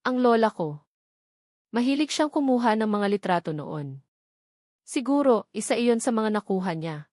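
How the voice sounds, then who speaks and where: A woman's voice: a little high, lively intonation, normal speed, pauses often, a little mellow, no echo, studio quality, close to an everyday voice. A woman voicing a sweet, alluring, confident young heiress in a romance story. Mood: smooth, deceitful.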